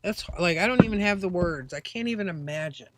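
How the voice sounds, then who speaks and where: A woman's voice: on the low side, lively intonation, a little fast, very noisy. A woman doing a casual live-stream tarot reading, chatty and rambling with many fillers. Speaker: tarot reader.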